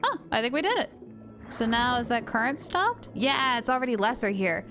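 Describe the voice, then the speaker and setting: A woman's voice: a little high, very animated, normal speed, a bit bright. A woman livestreamer giving casual commentary as she plays a video game. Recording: game music behind the voice. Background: video game music.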